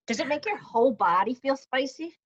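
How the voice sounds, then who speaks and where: A woman leads a group discussion over a video call. Her voice sits a little high, and she talks in an encouraging way, asking question after question to draw people out. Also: animated, brisk, muffled recording. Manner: encouraging, asks many questions. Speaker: workshop facilitator.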